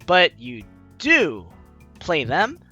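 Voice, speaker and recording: A man's voice: fairly high pitch, wildly swooping pitch, very slow, muffled recording. A man doing casual let's play commentary, reading game text aloud and adding teasing, sarcastic asides. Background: soft game music.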